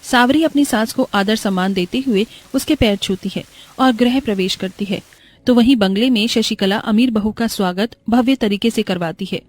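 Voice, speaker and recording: A woman tells a scripted cartoon bedtime story. Her voice sits at a middle pitch as she narrates the moral tale. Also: moderate pace, no echo.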